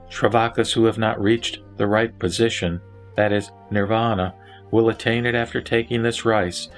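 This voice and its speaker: A man reciting scripted scripture narration in a measured, solemn manner. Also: mid-range voice. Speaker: narrator and reciter of a Buddhist sutra. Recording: background music under the voice. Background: instrumental music.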